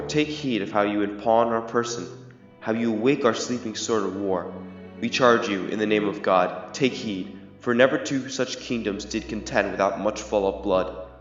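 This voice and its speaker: A man acting a solemn young king in an amateur Shakespeare audio drama, speaking verse in a formal, measured, grave way. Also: on the low side, natural voice. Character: solemn young king of England in a history play. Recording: echoey hall, muffled, music behind.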